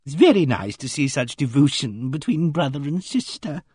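A man's voice: on the high side, sing-song, normal speed, a little mellow, slightly rough, no room sound, studio quality, pitched above the performer's own voice. A man voicing a timid, fussy elderly spinster lady with a genteel, fluttering, reproachful manner, in an audiobook. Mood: gushing. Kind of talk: audiobook character voice.

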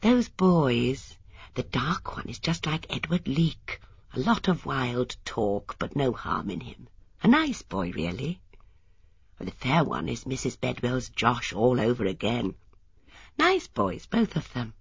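Elderly lady, quiet, measured, low-pitched, a woman puts her on, storybook reading. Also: big pitch swings, slightly rough, no room sound, dull audio, full character voice. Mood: musing. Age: older. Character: elderly genteel spinster, shrewd and observant.

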